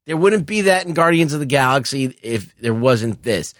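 A man's voice: mid-range voice, expressive, normal speed, fairly bright tone, dry recording. A man co-hosting a casual film discussion, speaking emphatically with lots of swearing into a close microphone. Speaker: podcast co-host reviewing films. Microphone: close podcast microphone.

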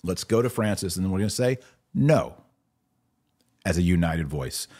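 A man's voice: deep voice, lively intonation, normal speed, darkish tone. A man hosting a podcast, giving casual commentary in an informal, emphatic, slangy way and repeating key phrases for punch.

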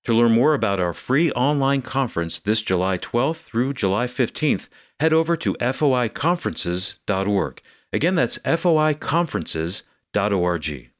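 A man's voice: mid-range voice, very animated, normal speed, hardly any pauses. A man co-hosting a program, reading a scripted promotional announcement. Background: music bed.

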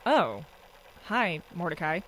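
An actor playing a blunt, exasperated coworker with deadpan replies. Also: male voice, on the high side, takes it slowly, background noise. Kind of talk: audio drama dialogue.

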